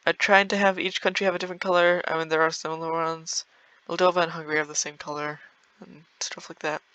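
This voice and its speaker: A man's voice: fairly high pitch, level tone, quick pace, sharp tone, clear, resonant tone, dull audio. A young man giving rambling, casual commentary over a strategy game, full of fillers and small laughs. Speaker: gameplay video creator.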